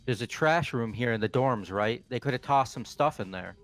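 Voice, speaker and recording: A man's voice: mid-range voice, moderate pace, close to an everyday voice. A man voicing a hot-headed, foul-mouthed young tough guy in a video game, blunt and brash, with music behind. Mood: blunt, suspicious. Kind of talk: fan voice acting of a visual novel game.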